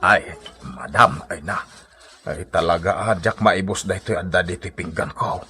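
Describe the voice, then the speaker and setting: An actor playing a proud, blustering old Ilocano father-in-law in a comedy radio drama, with a husky voice and an exclamatory, drawling delivery. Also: low voice, moderate pace, pushed and bright. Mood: sheepish. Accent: Filipino, Ilocano.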